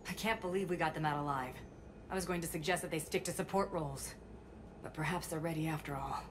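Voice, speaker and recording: Game role: actress as proper, protective woman teacher, precise diction, fast delivery, noisy ambience. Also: sits low, lightly acted. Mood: relieved. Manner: formal, precise wording. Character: earnest, proper, protective female academy teacher. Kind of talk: video game voice acting.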